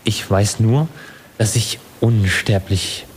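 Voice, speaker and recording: A bewildered young sailor in love, acted by a man for an audio story. His voice is fairly low, earnest and defensive. A little noise sits behind the recording. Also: takes it slowly, rough recording.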